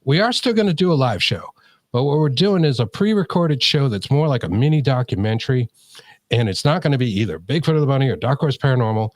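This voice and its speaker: A man's voice: mid-range voice, animated, fast talker, dry recording. A man with a New England accent chatting casually as a podcast co-host, in an informal, slangy, rambling way. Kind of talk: casual chat.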